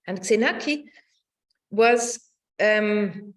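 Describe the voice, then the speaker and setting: A middle-aged woman giving an academic lecture, thoughtful in phrasing and partly reading from notes. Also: medium pitch. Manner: halting, searching delivery with repeated words and tag "no?".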